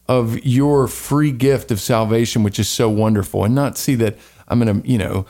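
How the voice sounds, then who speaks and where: Podcast co-host, male, mid pitch, faint Southern twang, earnest and rambling. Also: steady tone, normal speed, dark tone. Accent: light Southern American. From American South. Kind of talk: casual chat and spoken prayer.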